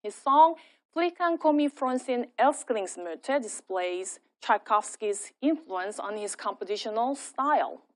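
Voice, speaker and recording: A woman lecturer giving an academic talk in a measured, explanatory way, her first language Korean. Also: a little high.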